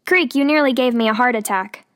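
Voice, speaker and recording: A woman's voice: on the high side, a little fast, a bit bright, no room sound, pitched above the performer's own voice, natural voice. A woman voicing a kind young potion-making witch in a storybook reading, friendly and lightly teasing.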